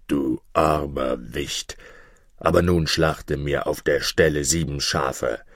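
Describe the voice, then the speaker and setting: A man voicing a huge, gruff, gluttonous giant in a storybook, with a booming, blustering, menacing and commanding manner. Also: fairly even intonation, takes it slowly, no room sound, studio quality, exaggerated voice. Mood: mocking, demanding.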